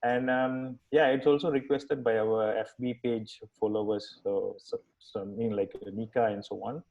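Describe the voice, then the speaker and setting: A man's voice: mid-range voice, fairly even intonation, normal speed, darkish tone. A man hosting an online webinar, talking casually in a rambling way with many fillers and restarts. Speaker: webinar host. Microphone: video call. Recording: dull video-call audio.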